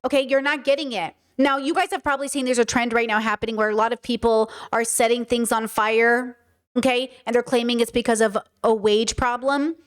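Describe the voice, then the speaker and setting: A woman's voice: a little high, steady tone, a little fast, clean tone. A woman with a Californian accent hosting a commentary video, talking emphatically to her viewers and often repeating words as she thinks. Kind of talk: opinionated commentary monologue.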